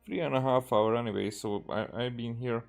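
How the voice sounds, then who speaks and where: A man from Hungary with a Hungarian accent, a native Hungarian speaker, giving casual, halting game commentary as he thinks aloud. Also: mid-range voice, creaky, soft background music. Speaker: game streamer.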